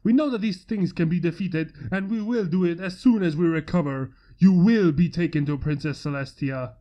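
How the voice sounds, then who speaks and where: A woman's voice: lowish voice, moderate pace, warm tone, lo-fi sound, natural voice. A woman doing a defiant male hunter. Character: defeated male monster hunter, proud and vengeful.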